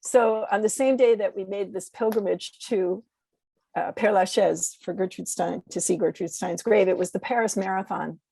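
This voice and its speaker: An American woman, a poet, speaking as a podcast guest in a measured literary way, as if introducing or reading her own work. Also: medium pitch, vocal fry, dark tone, husky, dry recording, rough recording. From United States. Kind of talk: conversational introduction and poetry reading.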